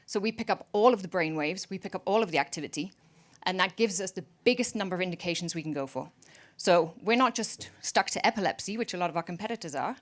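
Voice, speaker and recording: A woman chief executive speaks from a conference stage, pitching her company to the investors in the room. Her delivery is energetic and salesy, with a joking edge. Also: fast. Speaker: startup CEO pitching to investors.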